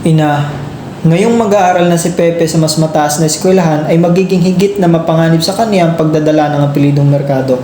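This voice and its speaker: A man's voice: fairly high pitch, a little fast, warm tone, close to an everyday voice. A man playing a protective, earnest young elder brother in an amateur Filipino radio drama. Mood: serious. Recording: roomy sound with faint noise.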